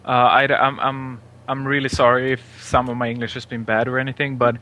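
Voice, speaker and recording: Bright-voiced man with a Swedish accent. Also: medium pitch, level tone, a little fast. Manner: hesitant, apologetic, stumbling over words. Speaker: interviewer. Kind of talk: casual chat.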